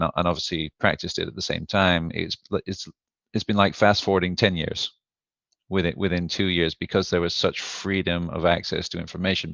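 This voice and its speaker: Very low-voiced host from the uk, kinda hesitant with lots of uh, dry muffled lo-fi sound. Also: male voice, vocal fry, fairly bright tone, dry recording. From United Kingdom. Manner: thoughtful, hesitant with "uh" fillers. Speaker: podcast host. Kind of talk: conversational interview.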